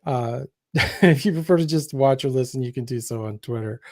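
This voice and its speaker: Mellow man hosting a podcast. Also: medium pitch, brisk, no echo, studio quality. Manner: relaxed, with many fillers and chuckles. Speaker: podcast host.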